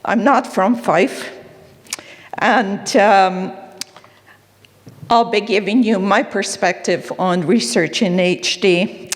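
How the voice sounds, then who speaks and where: A woman with a Canadian accent speaks earnestly and candidly to a conference audience, pausing on fillers and slipping in small asides. Her voice sits at a middling pitch and carries a long hall reverb. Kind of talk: personal conference talk.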